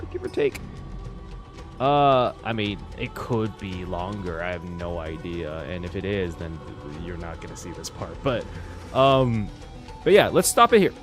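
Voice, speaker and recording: Excitable man reacting to a game. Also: on the low side. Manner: excitable, chatty, swears. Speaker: reaction streamer. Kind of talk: casual game reaction commentary.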